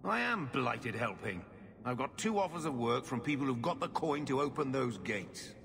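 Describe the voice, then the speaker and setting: An actor playing a shifty, weary middle-aged uncle in a video game, gruff and evasive. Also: male voice, mid-range voice, very animated, normal speed, crowd noise. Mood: grudging, persuasive. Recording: music playing behind the voice.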